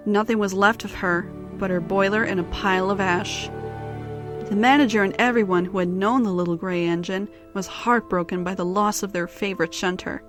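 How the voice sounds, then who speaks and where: Woman narrating a story over soft music. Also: medium pitch, steady tone, moderate pace.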